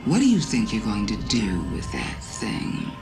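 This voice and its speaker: Slow, cunning, echoing witch-god. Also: a bass voice, wildly swooping pitch, heavily acted. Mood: ominous, menacing. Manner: slow, insinuating, theatrical, pointed pauses. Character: cunning, taunting alien witch-god, female. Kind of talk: dramatic video game monologue. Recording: echoing voice under prominent music. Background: music.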